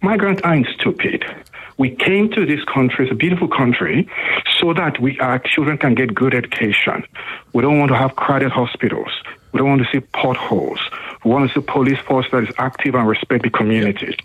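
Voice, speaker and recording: A man calls in to a radio show over a thin, phone-like line, his voice husky and a little breathy. He speaks earnestly and builds his emphasis as he makes his points. He was born abroad. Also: medium pitch, brisk. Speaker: caller. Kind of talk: talkback radio call.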